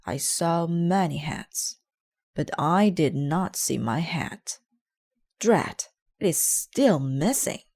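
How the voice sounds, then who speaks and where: A woman voicing an earnest, bumbling, excitable male dinosaur detective from a storybook, with emphatic delivery. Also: sits low, takes it slowly, full character voice. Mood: downcast. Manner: emphatic, exclamatory.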